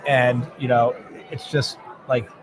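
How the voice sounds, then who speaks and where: A male lawyer and host chats casually at a convention booth. He talks earnestly and rambles, restarting his sentences often. His voice sits at a middling pitch, with clear floor noise all around him. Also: level tone, slow.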